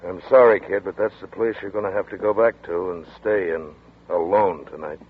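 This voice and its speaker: A man's voice: low-pitched, fairly even intonation, normal speed, slightly rough. A man voicing a tough, weary detective friend who talks in a blunt, matter-of-fact way, in 1940s radio drama. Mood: gruff. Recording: tinny old radio broadcast.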